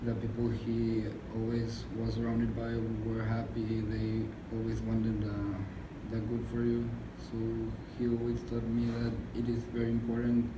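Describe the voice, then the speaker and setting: A young adult man speaking haltingly, searching for words in a reflective group conversation. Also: lowish voice, monotone. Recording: echoey room with background noise.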